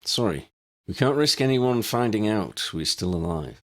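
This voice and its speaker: A man's voice: fairly low pitch, animated, normal speed, voice pushed up, natural voice. A man voicing a serious, cautious young male wizard leader, firm and decisive, in an audiobook.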